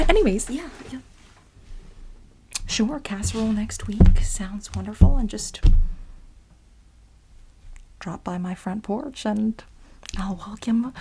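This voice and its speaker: A woman playing an anxious, needy lab researcher in a soft-spoken ASMR role play, breathy and often slipping into a whisper, halting and rambling. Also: medium pitch. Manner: halting, rambling, emotional, repeats herself.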